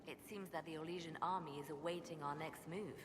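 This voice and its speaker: Actress as solemn game spymaster lady, sad and devout, lowish voice, noisy echoey hall. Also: steady tone, fast talker, close to an everyday voice. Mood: composed. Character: melancholy, devout female spymaster haunted by grief. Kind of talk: video game cutscene acting. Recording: echoey hall, loud background noise.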